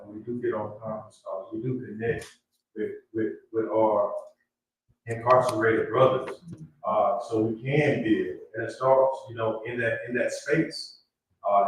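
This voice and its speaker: Man, lowish voice, halting deliberate remarks, very echoey, distant mic. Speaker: panel moderator, community advocate. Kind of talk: unscripted public event remarks. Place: room at a live community event. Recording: echoey room, distant mic, background noise.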